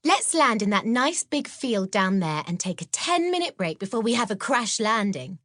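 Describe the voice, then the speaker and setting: A woman's voice: medium pitch, sing-song, moderate pace, fairly bright tone, no room sound, clean studio sound, pitched above the performer's own voice. A woman voicing a cheerful young schoolgirl witch with a bubbly, exclamatory manner, in a children's audiobook. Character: cheerful, giggly schoolgirl witch, kindly best friend. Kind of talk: children's audiobook character acting.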